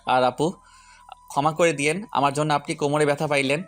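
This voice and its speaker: A man's voice: on the high side, steady tone, fairly quick, close to an everyday voice. A man playing a shy, injured young man who politely protests and pleads in an audio love story. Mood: tired, apologetic.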